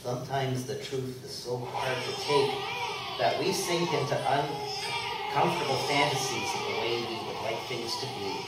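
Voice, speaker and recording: A man's voice: mid-range voice, normal speed, loud music behind the voice, lightly acted. A man playing a naive, earnest young reporter narrating a mock documentary radio play. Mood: mock-serious. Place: convention room, live stage performance. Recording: very echoey, noisy live room, distant mic.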